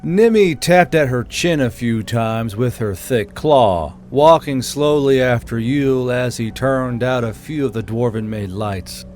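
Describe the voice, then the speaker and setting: A man's voice: medium pitch, unhurried, few pauses, a little hiss or hum. A man reading scripted fiction narration as the narrator of an audiobook. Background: soft background music.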